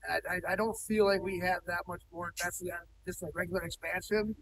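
Plainspoken farmer, high voice, distant mic. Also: male voice, fairly even intonation, fast, fairly bright tone, a little hiss or hum. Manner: plainspoken, with frequent ums and restarts. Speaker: cattle farmer. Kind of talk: on-site interview. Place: indoor cattle barn, large, open-sided.

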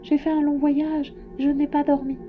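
A woman's voice: high-pitched, little pitch movement, moderate pace, narrow, tinny audio, faint music behind, pitched above the performer's own voice, close to an everyday voice. A woman voicing a polite, timid, curious little boy prince in a storybook, speaking in shy questions. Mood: embarrassed.

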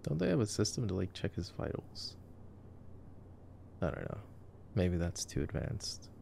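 Male, deep and creaky, casual boyfriend-style ASMR reactions to a film, softly spoken. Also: sing-song, measured pace, a little hiss or hum. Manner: hushed, sometimes dropping to a whisper. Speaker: ASMR voice actor. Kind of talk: casual ASMR movie-watching commentary, boyfriend roleplay.

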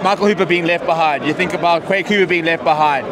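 A man's voice: fairly high pitch, steady tone, quick pace. A man in his thirties, a sports reporter interviewing fans with chatty, upbeat restarts, outside a stadium at night on a handheld mic. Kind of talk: roving fan interview. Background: crowd.

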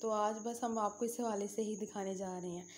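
A woman's voice: medium pitch, steady tone, a little fast, darkish tone, slight background noise. A young Pakistani woman hosting a vlog and addressing her viewers. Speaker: vlog host.

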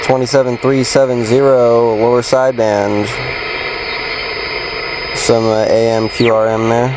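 A man gives a casual hands-on radio demonstration from inside a vehicle. He sounds matter-of-fact and technical, with an average-pitched voice. Loud hiss and static from a CB radio sit behind him. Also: little pitch movement, measured pace. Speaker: radio hobbyist reviewer. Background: CB radio static and chatter.

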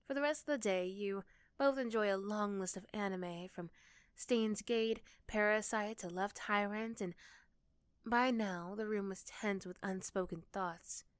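A woman's voice: medium pitch, a little slow, clear, resonant tone, no room sound. A young woman narrating a second-person ASMR story as its narrator, close to the microphone. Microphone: close, dry microphone.

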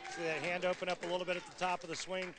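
A man's voice: high-pitched, flat delivery, rapid-fire, a crowd in the background. A middle-aged American man giving analytical bowling commentary in an explanatory, conversational way. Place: bowling centre during televised championship. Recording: broadcast over loud venue noise. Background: loud bowling venue ambience.

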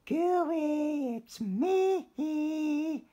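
A man voicing a small, eager young male fish in a storybook, calling out in squeaky exclamations. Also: expressive, very slow, dark tone, highs cut off, heavily acted. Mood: excited.

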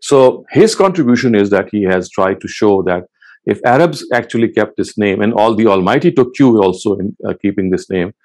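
A man in his sixties giving a measured academic lecture from a studio, answering questions and explaining patiently, with frequent "uh" pauses. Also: on the low side, animated, a little mellow. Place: studio set with bookshelves and wall lamps.

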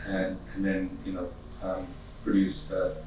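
A man's voice: deep voice, completely flat delivery, unhurried, a little mellow. A middle-aged man giving a public talk to an audience in a community conference room, speaking hesitantly with many fillers and restarts. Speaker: guest lecturer. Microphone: far camera. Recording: echoey room from far off, faint hiss.

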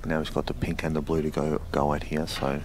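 A man commentating on a live snooker stream in a loud, chatty way, often repeating words. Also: very deep voice, completely flat delivery, brisk. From Greek heritage. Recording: noisy live stream audio.

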